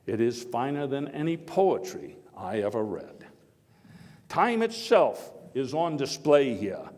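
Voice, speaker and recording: An actor playing an earnest, folksy statesman orator of early 1900s America in a theatrical first-person speech, emphatic but given to halting digressions. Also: medium pitch, big pitch swings, a little mellow. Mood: rousing, proud. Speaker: living-history performer. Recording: echoey hall with long reverb.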